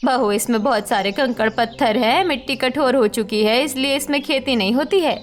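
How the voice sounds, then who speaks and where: Woman playing older mother-in-law, resigned manner, cartoon moral story, high female pitch, faint music. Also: moderate pace, close to an everyday voice. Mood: resigned, curious. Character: older village mother-in-law, weary and concerned.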